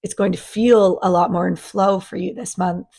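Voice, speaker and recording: A woman, raspy after a sinus virus, giving a casual spiritual energy forecast to her channel in a gentle, chatty way. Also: on the low side, fairly even intonation, moderate pace. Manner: gentle, chatty, with many fillers and restarts. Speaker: spiritual coach and channel host.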